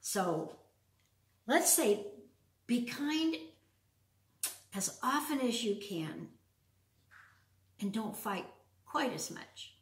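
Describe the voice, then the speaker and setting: An older woman reads to small children in a fairly high voice that swings up and down. She speaks in simple phrases and often puts questions to her young listeners. The sound is roomy, as if recorded a little way across a living room. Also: big pitch swings, drawn-out words, long pauses. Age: older, around 70s. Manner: simple, child-directed talk with frequent questions. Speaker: storyteller reading to children. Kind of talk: children's storytime read-aloud with chatty asides. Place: large open living room with wood floor, indoors. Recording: roomy echo, distant from the mic.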